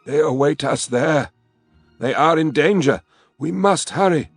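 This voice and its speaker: A man's voice: on the high side, unhurried, husky, no room sound, faint music behind, pitched above the performer's own voice. A man voicing a blind old male orc shaman who speaks with urgency in an audiobook. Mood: urgent.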